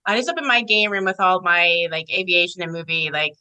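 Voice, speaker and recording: A woman's voice: lowish voice, brisk, bright, forceful tone, clear, resonant tone. A woman giving informal, explanatory answers in a conversational interview about her job as an air traffic controller.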